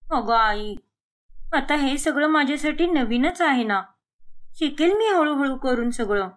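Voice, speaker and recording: Woman voicing cartoon daughter, young adult, very high-pitched, cheeky, whiny, protesting. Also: animated, measured pace. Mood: placating. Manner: complaining, protesting. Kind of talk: animated moral story dialogue.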